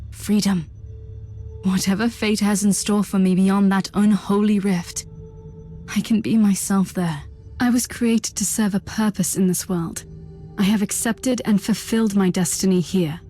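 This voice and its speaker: A woman's voice: mid-range voice, fairly even intonation, moderate pace, dark tone, soft background music, close to an everyday voice. An actress playing a resolute, fatalistic warrior woman with an intense, solemn manner in a video game cutscene. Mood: solemn resolve.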